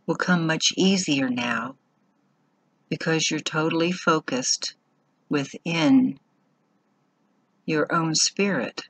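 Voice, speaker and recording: A woman leading a guided meditation in short, measured phrases with long pauses between them. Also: deep voice, fairly bright tone.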